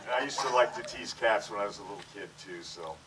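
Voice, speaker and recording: An older man holds a handheld mic in a backyard and speaks to a gathered crowd, his voice low and loose with fillers. The recording picks him up from a distance, with the murmur of many guests around him. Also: lively intonation, quick pace. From Hawaii. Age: 60s. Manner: rambling, joking, with fillers and restarts. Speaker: widower hosting a memorial gathering. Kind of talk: casual heartfelt speech to a crowd. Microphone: handheld microphone.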